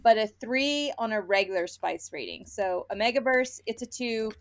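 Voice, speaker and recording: A woman with a fairly high voice chats casually as a podcast co-host reviewing books. She is candid and animated, often quoting her own reactions as she explains her opinion. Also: moderate pace. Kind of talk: casual book review chat.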